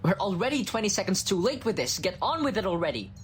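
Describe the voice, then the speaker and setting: A man's voice: high-pitched, very animated, fast, slight background noise. A male voice actor playing an exasperated, sarcastic scientist in an ASMR audio roleplay, whiny and weary. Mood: agitated.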